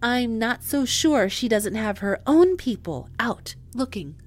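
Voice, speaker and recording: A woman's voice: on the high side, lively intonation, unhurried. An actress in an audio drama playing a chatty, emphatic woman who runs a moon base's inventory and gets easily frustrated. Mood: frustrated, exasperated.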